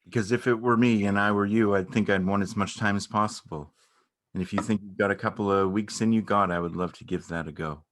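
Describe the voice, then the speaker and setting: A man's voice: lowish voice, steady tone, a little fast, fairly bright tone, close to an everyday voice. A man playing an anxious, caring partner of an ill woman in a dramatic stage reading, earnest and questioning. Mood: earnest, hesitant.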